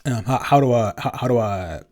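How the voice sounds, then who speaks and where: A man's voice: medium pitch, fairly quick, darkish tone. A man chatting casually in a Q&A, hesitant and often repeating himself as he searches for words. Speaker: podcast co-creator, composer and episode writer. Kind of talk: casual Q&A chat.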